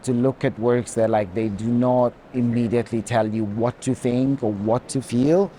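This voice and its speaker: A man speaking as an art curator, reflective and halting as he searches for words in unscripted commentary. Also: medium pitch, warm tone. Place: art fair, walking tour. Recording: background noise of a busy hall.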